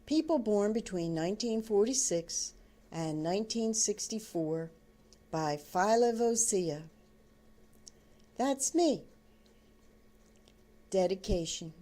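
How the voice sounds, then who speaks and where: An older woman reading her own book aloud as storybook narration, in deliberate, halting phrases. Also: mid-range voice, lively intonation, mellow.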